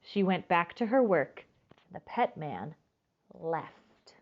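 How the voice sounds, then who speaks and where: Woman storytime reader, expressive and lively in pitch, addresses children directly, slightly dull lo-fi recording. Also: takes it slowly. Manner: expressive, stretches words for emphasis. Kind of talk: children's storybook read-aloud with asides to listeners.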